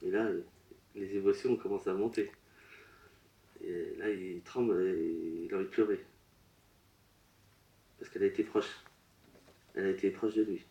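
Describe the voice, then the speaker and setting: Medium guy at a public seance, really dark mellow voice, broken choppy phrasing, echoey room. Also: low voice, fairly even intonation, muffled recording. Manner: halting, relays messages in short broken phrases. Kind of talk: public séance reading. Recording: roomy echo.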